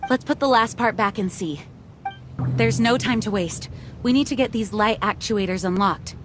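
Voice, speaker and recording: A woman's voice: medium pitch, moderate pace, noisy background, natural voice. A woman playing a serious, composed young knight leader in a video game, earnest and commanding. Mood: focused. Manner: commanding, earnest, crisp orders.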